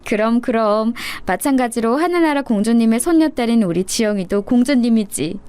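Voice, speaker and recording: Woman acting patient mother, tender, slightly high pitch, fairy-tale telling to a child, radio-story character. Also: lively intonation, normal speed, warm tone, clean tone, faint music behind, close to an everyday voice.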